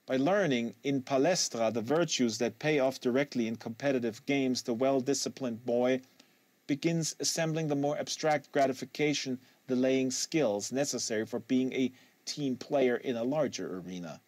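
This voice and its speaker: Male audiobook narrator, mid-range pitch, emphatic phrasing, very dry sound. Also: moderate pace, darkish tone, dry recording. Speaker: audiobook reader hosting a live reading series.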